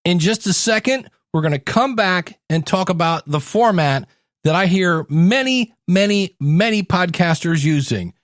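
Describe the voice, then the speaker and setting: A man hosting a solo podcast, giving advice in a conversational, animated way and acting out little quotes to make his points. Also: high voice, unhurried, dry recording, clean studio sound. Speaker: podcast host and coach.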